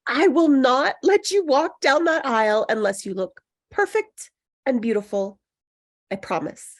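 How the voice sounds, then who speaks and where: High-pitched female actor as a loyal best friend, soothing and encouraging, in a screenplay read. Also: animated, a little slow, heavily acted. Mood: reassuring. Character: Annie, loyal reassuring best friend of a nervous bride.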